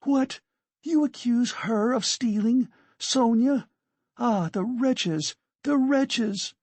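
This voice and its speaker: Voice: medium pitch, big pitch swings, takes it slowly, a little mellow, dry recording, pitched above the performer's own voice. A narrator voicing a hysterical, fiercely proud and indignant poor woman in a dramatic audiobook reading. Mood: shocked, outraged. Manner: shrieking, frantic, exclamatory.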